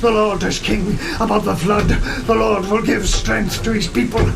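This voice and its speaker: A man's voice: sits high, fairly even intonation, gravelly, full character voice. An actor playing a haunted, devout male sea captain in a gothic horror audio drama, fervent and desperate in manner. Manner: slow, fervent, declamatory, calling out. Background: rain, thunder and music.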